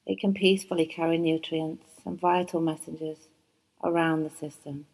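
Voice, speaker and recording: A woman teaching yoga nidra, guiding a relaxation meditation in a soft, soothing voice, slow and unhurried with long pauses. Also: low voice, fairly even intonation, rough recording. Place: yoga training course.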